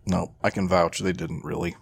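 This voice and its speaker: Male podcast co-host, lowish voice with heavy fry, laid-back, slangy, sweary chat. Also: little pitch movement, moderate pace, no echo, clean studio sound. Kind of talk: casual pop-culture chat.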